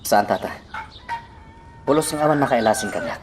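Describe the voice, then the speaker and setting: A man's voice: medium pitch, animated, a little fast, background noise, lightly acted. A man acting a determined fighter facing enemies in an Ilocano radio drama.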